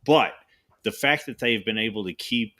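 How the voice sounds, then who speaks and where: Bright-voiced, emphatic male podcast host. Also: fairly low pitch, normal speed. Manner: emphatic, opinionated asides. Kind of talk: casual conversation.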